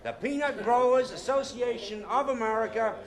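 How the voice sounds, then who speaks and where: A man's voice: very high voice, normal speed. An actor playing a formal, authoritative committee chairman with an oratorical manner in a radio drama. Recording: crowd murmur in a chamber.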